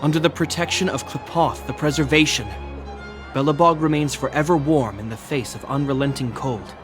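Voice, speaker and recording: A man voicing a solemn, dutiful guard captain in a video game, formal, measured and authoritative. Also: fairly high pitch, natural voice. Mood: grave, storytelling. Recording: game music behind the voice. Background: orchestral violin music.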